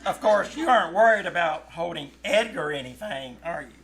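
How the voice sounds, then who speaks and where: A woman's voice: on the low side, expressive, a little fast. A woman playing a sarcastic, wisecracking kid brother, dry and mocking, in 1940s radio comedy style. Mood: sardonic. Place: live on stage in a small theater.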